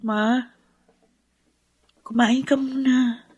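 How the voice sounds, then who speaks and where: A very high-voiced, worried young daughter. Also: female voice, fairly even intonation, very slow, darkish tone, breathy edge, dull audio, full character voice. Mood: concerned. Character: worried, caring grown child of grieving mother. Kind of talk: Tagalog radio drama acting.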